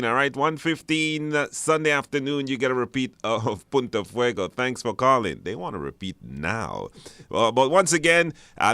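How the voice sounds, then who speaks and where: A man with a Belizean accent hosting a live radio talk show, speaking in a halting way with repeated phrases. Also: medium pitch, a bit bright, clear, resonant tone, dry recording. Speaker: radio host. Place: radio studio.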